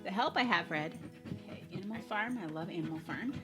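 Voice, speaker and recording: A woman's voice: fairly low pitch, expressive, fast, music in the background. A woman in her thirties presenting as a school librarian host, talking casually to camera for students. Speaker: school librarian hosting a virtual library show.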